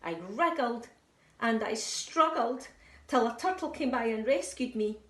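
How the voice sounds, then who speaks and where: A woman reads out a little fish boy full of tall tales, rattling off eager excuses in a storybook voice. The voice sits a touch high and bounces around an echoey room. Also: lively intonation, measured pace, fairly bright tone, distant mic. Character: small boastful young boy fish who tells tall tales.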